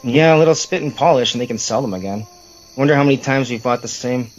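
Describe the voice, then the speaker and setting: A man's voice: mid-range voice, quick pace, soft background music, close to an everyday voice, poor audio. A man playing a grumbling, teasing brother in an audio drama, sarcastic and irreverent. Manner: sarcastic, complaining.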